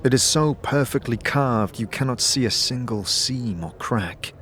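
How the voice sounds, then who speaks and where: A man narrating a scripted fantasy audio drama in a measured, descriptive storytelling manner. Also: mid-range voice, animated, fairly bright tone, slight background noise.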